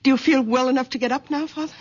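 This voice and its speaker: An actress playing a protective grown daughter, earnest and pleading, in an old-time radio drama. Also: female voice, high voice, steady tone, rapid-fire, slightly rough. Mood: gentle, careful. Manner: earnest, pleading, gently insistent. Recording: dull lo-fi old radio recording.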